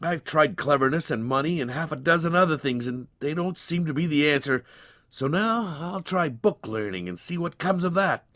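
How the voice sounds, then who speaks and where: A man playing an animated, earnest young small-town fellow. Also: on the high side, moderate pace, no room sound, clean studio sound, pitched above the performer's own voice. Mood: earnest, pondering. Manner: folksy, earnest.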